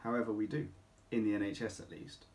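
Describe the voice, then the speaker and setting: A middle-aged man speaking as a clinic doctor, explaining to camera in an emphatic, deliberate way with rhetorical questions. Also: fairly low pitch, steady tone, darkish tone. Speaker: doctor at a men's health clinic. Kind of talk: informal explainer talk to camera. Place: small home office or consulting room, indoors.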